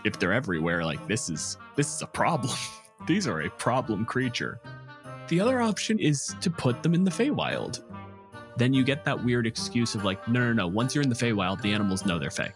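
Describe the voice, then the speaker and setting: A man talking casually as a podcast co-host, explaining game creatures in an animated way. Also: medium pitch, fairly quick, over music. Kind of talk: casual chat about tabletop game monsters.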